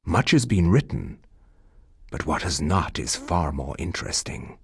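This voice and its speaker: An actor playing a grave, learned narrator of dark history in a video game, husky, measured and ominous. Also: male voice, sits low, big pitch swings, no room sound, studio quality, full character voice. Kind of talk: scripted dramatic game narration.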